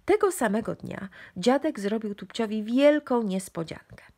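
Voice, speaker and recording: A woman's voice: a little high, animated, moderate pace, a little mellow. A woman narrating a bedtime storybook expressively for young children.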